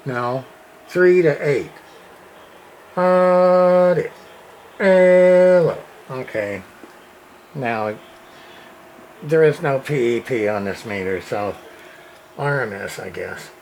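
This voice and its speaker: A man giving a casual, off-the-cuff demonstration as a radio repair hobbyist, halting and folksy in a plain-spoken way. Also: mid-range voice, darkish tone, low-quality recording. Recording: clear background radio noise and hiss. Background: radio noise.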